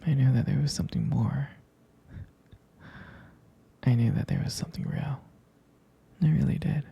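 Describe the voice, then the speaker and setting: A man playing a tender, smitten boyfriend in an intimate ASMR roleplay, speaking softly and haltingly in a breathy near-whisper. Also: medium pitch, steady tone, exaggerated voice. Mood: tender, wistful. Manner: hesitant, halting, gentle. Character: tender, smitten boyfriend reminiscing about a first date.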